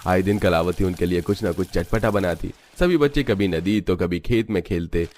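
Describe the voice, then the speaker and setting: Low-pitched man narrating a story. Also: moderate pace, a little mellow. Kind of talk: scripted Hindi family-story narration.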